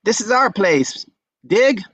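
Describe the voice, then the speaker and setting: A man puts on a young street punk for a screenplay reading. The voice is pitched well up, pushy and cheeky, wheedling in slang. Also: lively intonation, takes it slowly, fairly bright tone, slightly rough, no echo, highs cut off, full character voice. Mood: threatening. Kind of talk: screenplay table read acting.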